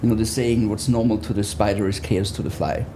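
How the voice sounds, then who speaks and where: A man's voice: lowish voice, fairly even intonation, fairly quick, noisy background. A man, talking conversationally as a guest in an interview. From Austria. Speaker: interview guest, business therapist.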